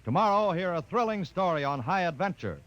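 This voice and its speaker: A man's voice: sits high, sing-song, a little fast, fairly bright tone. A man announcing credits in a formal scripted 1950s radio style. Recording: muffled, hissy old radio recording.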